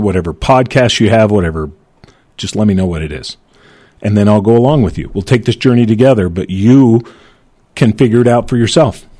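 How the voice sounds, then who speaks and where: A man's voice: on the low side, mellow. A man hosting a panel discussion, telling an anecdote in a conversational way. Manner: conversational storytelling. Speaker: host.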